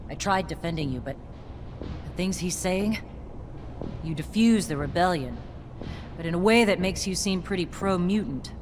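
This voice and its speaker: A low female voice, measured and commanding, an actress playing a stern yet loyal army captain. It is video game drama, with clear ambient noise behind her. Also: close to an everyday voice. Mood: stern warning. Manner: measured, authoritative.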